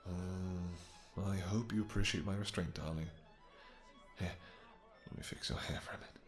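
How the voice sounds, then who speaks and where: A man's voice: low-pitched, steady tone, moderate pace, music in the background, close to an everyday voice. A man playing a possessive, courtly vampire king in a romantic fantasy audio roleplay, speaking silky endearments with mock-polite menace. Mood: possessive tenderness.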